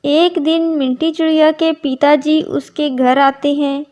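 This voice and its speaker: A woman's voice: sits high, steady tone, a little slow, a little mellow. A woman narrating a scripted cartoon story as its storyteller.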